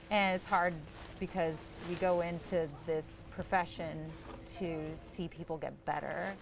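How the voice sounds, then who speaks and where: A woman, a hospital worker, recounting her experience plainly and reflectively in an interview. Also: deep voice. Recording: noisy hospital unit ambience. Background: clear background noise.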